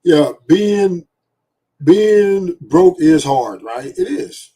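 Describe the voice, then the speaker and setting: A man on a panel, laying out his opinions in measured, drawn-out phrases. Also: sits high, expressive, mellow, slightly rough. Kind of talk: casual panel debate.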